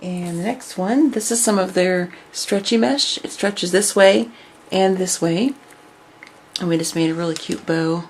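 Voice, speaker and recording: Woman, raspy worn-out voice, low, chatty craft show-and-tell, faint background hiss. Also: measured pace. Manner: chatty, filler-heavy, enthusiastic. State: losing her voice, raspy. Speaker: craft vlogger.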